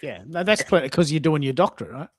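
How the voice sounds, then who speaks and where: A man's voice: fairly high pitch, expressive, quick pace, no echo. A man hosting a live coaching talk, repeating words for emphasis. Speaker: host and social media coach. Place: dry room, online live stream.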